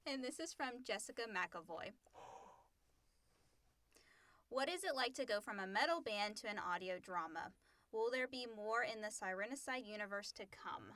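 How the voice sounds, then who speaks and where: A woman's voice: medium pitch, lively intonation, moderate pace, pauses often, fairly bright tone, dry recording. A woman co-hosting a podcast, chatting casually and reading questions aloud.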